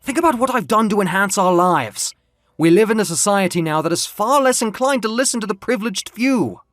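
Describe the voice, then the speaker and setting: A man's voice: high-pitched, animated, fairly quick, fairly bright tone, no echo, clean studio sound, voice pushed up. A man voicing a petulant, defensive young male muse, indignant and self-justifying, in an audio drama.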